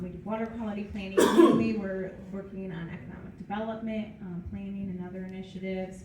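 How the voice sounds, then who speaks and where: A quiet-voiced woman of ordinary pitch speaking at a panel in a soft, measured way. She is picked up from a distance in an echoey meeting room. Steady background noise is clearly heard behind her. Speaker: program manager on a panel. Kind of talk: live panel talk.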